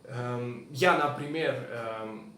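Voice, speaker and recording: A man's voice: medium pitch, very slow, a bit bright, echoey room, a little hiss or hum. A man, not a native speaker, hosting a casual interview and speaking with frequent hesitant fillers. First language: not Russian. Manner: hesitant, many fillers between words. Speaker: host, language learner.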